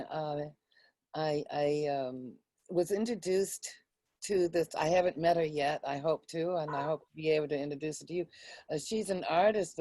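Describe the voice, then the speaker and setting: Female group moderator, deep relaxed tone, casual talk, dry sound. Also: dry recording. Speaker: reading group member and moderator. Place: online video meeting.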